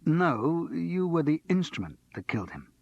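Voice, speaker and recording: A man reading aloud and voicing a calm, reasonable middle-aged man who soothes his friend. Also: a little high, moderate pace, creaky, no echo, pitched above the performer's own voice, full character voice. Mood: reassuring. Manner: soothing, patient. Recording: slightly muffled dry recording.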